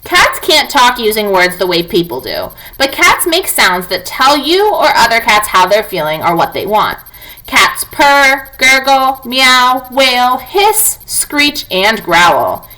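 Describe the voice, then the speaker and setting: A woman with a bright, forward voice reads a children's non-fiction book aloud like a classroom teacher. Her pitch moves in lively swings, and she enunciates clearly as she speaks directly to young pupils. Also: slow, low-quality recording. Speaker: teacher reading aloud to students.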